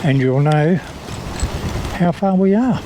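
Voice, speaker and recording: Male co-rider, slightly high pitch, relaxed chat and call-outs, noisy outdoor intercom sound. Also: slow. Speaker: co-rider in a trike vlog. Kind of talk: casual chat and call-outs while riding. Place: outdoors, riding along a path.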